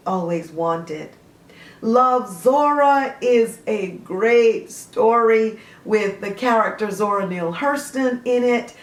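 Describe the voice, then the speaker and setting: Slow, gushing woman in an echoey room. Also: medium pitch. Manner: gushing, slow and deliberate, with frequent fillers. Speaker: book reviewer hosting a series. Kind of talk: casual book review with read-aloud excerpts. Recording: roomy echo.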